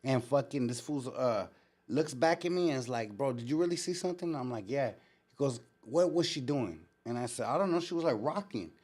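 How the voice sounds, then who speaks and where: A man hosting a casual live show, retelling stories in an animated way with street slang and frequent swearing. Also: mid-range voice, brisk, husky. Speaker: show host. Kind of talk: casual live storytelling and chat.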